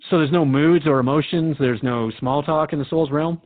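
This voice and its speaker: A man's voice: fairly high pitch, normal speed, narrow, tinny audio, lightly acted. A man playing a curious, polite radio host in an audio drama, interviewing in a courteous, conversational way.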